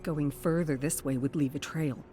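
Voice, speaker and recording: Measured dramatic story narrator over music. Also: medium pitch, fairly even intonation.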